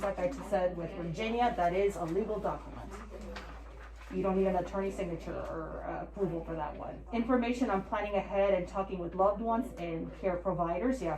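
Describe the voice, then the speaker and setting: A woman giving an informal presentation in a loose, halting way with many fillers. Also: mid-range voice, a little mellow, echoey room, rough recording.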